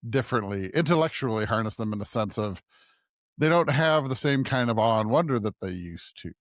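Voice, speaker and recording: Male co-host, medium pitch, conversational, seeks agreement as he goes, dry studio-like sound. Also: expressive, fairly quick, no room sound. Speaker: podcast co-host. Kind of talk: casual discussion.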